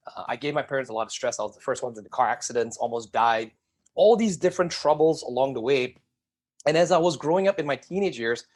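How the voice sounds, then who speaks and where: A middle-aged man from Singapore, a guest telling his life story in an energetic interview and addressing the viewers directly. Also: medium pitch.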